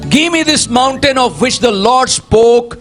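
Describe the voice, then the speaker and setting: A man preaching a sermon in English with an Indian accent, pausing for an interpreter and breaking into hallelujahs as he rises to a rousing call. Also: lively intonation, a bit bright. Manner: exclamatory, punctuates with hallelujah, rises to rousing pitch. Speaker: pastor. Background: faint music.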